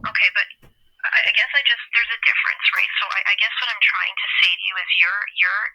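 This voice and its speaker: Husky, bright woman on phone. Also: medium pitch, a little fast. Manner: procedural, apologetic interjections, firm. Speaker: child welfare agency supervisor. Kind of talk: official phone conversation. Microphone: telephone. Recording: phone call audio.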